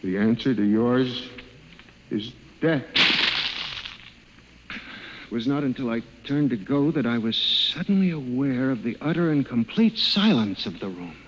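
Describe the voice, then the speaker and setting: A man's voice: mid-range voice, lively intonation, measured pace, noisy background, natural voice. An actor playing an anxious male office scientist narrating his descent into paranoia in an old-time radio drama, with a tense, melodramatic delivery. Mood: grave, dramatic. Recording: vintage radio drama with music underneath.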